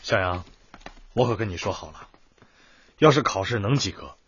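An actor playing a stern but soft-hearted young home tutor in a radio drama, with a husky voice and an emphatic, schoolmasterly manner. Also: male voice, on the low side, expressive, normal speed, no echo, dull audio. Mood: firm, businesslike.

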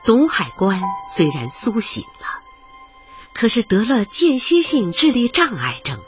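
A woman's voice: fairly high pitch, huge pitch swings, a little slow. A woman narrating a radio drama from a script. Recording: dull lo-fi, music behind.

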